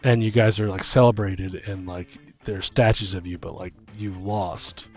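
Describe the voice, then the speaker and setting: A man's voice: low-pitched, steady tone, normal speed. A man in a casual, rambling chat, brainstorming ideas as a tabletop game host. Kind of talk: casual chat about fantasy tabletop games. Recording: music playing behind the voice. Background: music.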